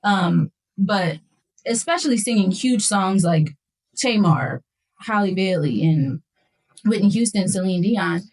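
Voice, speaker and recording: A woman's voice: mid-range voice, unhurried, rough recording. Young woman chatting casually about singing. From West Philadelphia. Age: 20s. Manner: relaxed, lots of fillers like um and you know. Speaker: guest singer. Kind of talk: casual conversation.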